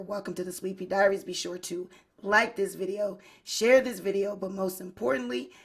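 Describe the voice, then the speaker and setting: A woman hosting her own online channel, talking casually to her viewers in a hoarse voice, addressing them as y'all and you guys. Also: medium pitch. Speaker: YouTube host. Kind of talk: casual livestream commentary.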